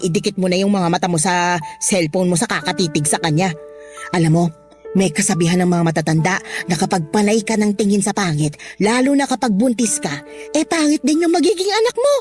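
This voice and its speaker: A woman's voice: medium pitch, a little mellow, natural voice. A woman acting out a nagging older Filipino mother-in-law in a radio drama. She is blunt and scornful, with big swooping intonation and an argumentative edge. Music plays underneath.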